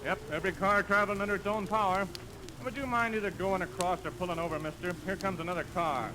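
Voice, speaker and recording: An actor playing a matter-of-fact, impatient American toll collector, terse and officious, in a 1930s radio comedy. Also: male voice, sits high, fast, natural voice. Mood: dry. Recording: noisy vintage 1930s radio recording.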